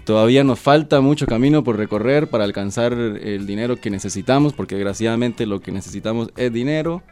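A man's voice: mid-range voice, fast, darkish tone, clean tone. A man from Costa Rica chatting casually as a radio interview guest, colloquial, modest and a little hesitant. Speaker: interview guest, web series maker.

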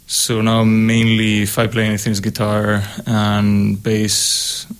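A young man from Spain with a Spanish accent, a composer talking casually on a radio show in a studio. He pauses often with ums. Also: lowish voice, completely flat delivery, smooth. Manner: hesitant, many ums. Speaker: guest composer, co-host.

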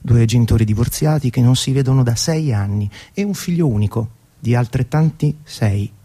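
A man's voice: medium pitch, normal speed, mellow. A man telling a scripted story as the host and narrator of a radio programme.

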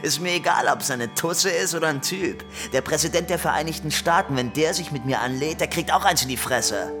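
A man's voice: on the high side, fairly quick, over music, natural voice. An actor playing a small, vengeful, foul-mouthed soldier in a radio play, crude and boastful in his threats.

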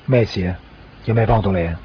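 An actor playing a cool, businesslike fixer for hire in a radio drama, terse and precise. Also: male voice, fairly low pitch, fast, noisy background, natural voice. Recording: muffled 1990s radio drama under music.

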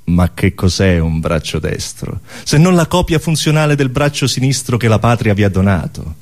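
An actor playing a pompous alien orator who coldly addresses inferior humans in formal, deliberate declamation, in an absurdist theatre speech. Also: male voice, fairly low pitch, lively intonation, a little mellow, close to an everyday voice. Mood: haughty.

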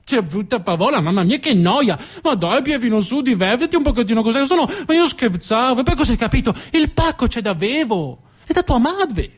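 Squeaky, camp, flirty postman. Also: big pitch swings, brisk, few pauses, exaggerated voice. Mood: flirty, whiny. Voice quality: speech defect turning R into V. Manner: camp, cooing, mock-offended. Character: flirtatious effeminate male postman, teasing and whiny. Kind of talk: comic theatre monologue character.

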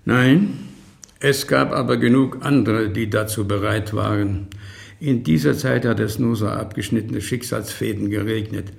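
An actor playing a weary, resentful old man giving testimony in a radio drama, deliberate and terse, with a creaky, gravelly voice. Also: on the low side. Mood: weary, resigned.

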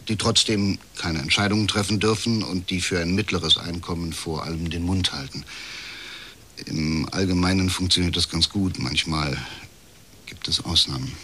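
An actor playing a bitter, middle-aged male official confiding as a whistleblower in a radio crime drama, with a husky voice and measured delivery. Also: sits low, fairly even intonation, bright, forceful tone, slight background noise. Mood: weary, confiding. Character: disillusioned, chain-smoking male privatisation official, uneasy whistleblower.

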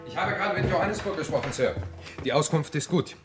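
An actor playing a keen, suspicious police assistant in a German radio crime play, eager and deductive. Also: male voice, a little high, expressive, fast talker, loud music behind the voice, close to an everyday voice.

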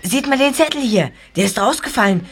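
A woman voicing an excited teenage boy sidekick in a radio play. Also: fairly high pitch, a little fast, a little hiss or hum. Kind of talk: amateur radio play acting.

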